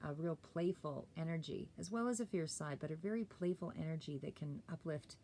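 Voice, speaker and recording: A woman doing a casual, rambling tarot reading, a little hoarse and clearing her throat, with many fillers and restarts. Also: low-pitched, brisk, dark tone, a little hiss or hum. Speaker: tarot reader.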